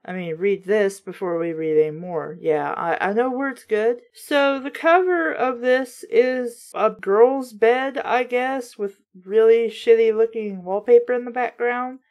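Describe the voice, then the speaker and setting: A woman's voice: fairly high pitch, a little slow, hardly any pauses, a little mellow. A woman reading a children's book aloud in a casual way, breaking off for chatty, wry, skeptical commentary.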